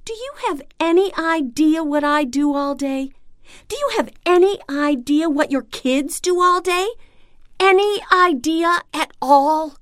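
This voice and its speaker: A woman's voice: medium pitch, very animated, slow, hardly any pauses, no echo, studio quality. A woman voicing an exasperated, put-upon mother in audiobook dialogue, indignant and emphatic. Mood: indignant outrage.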